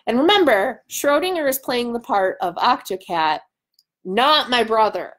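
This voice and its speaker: A woman's voice: a little high, expressive, unhurried, fairly bright tone. Give the voice of a woman in her thirties, congested and nasal with a cold. She reads a novel aloud to a live audience online and chats casually between passages. It is picked up by a webcam at home. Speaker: author hosting a live reading. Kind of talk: live book reading with casual chat. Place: small room at home, indoors. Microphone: webcam at arm's length.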